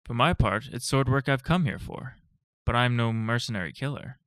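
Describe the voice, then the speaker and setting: A man's voice: mid-range voice, moderate pace, a bit bright, no echo, pitched above the performer's own voice, lightly acted. Man doing an earnest young swordsman. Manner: earnest, eager.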